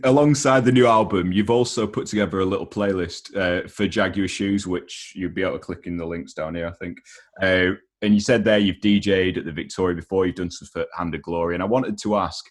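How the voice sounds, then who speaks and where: Low-pitched British man, casual interviewer. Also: fairly quick. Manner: informal, slangy, easygoing.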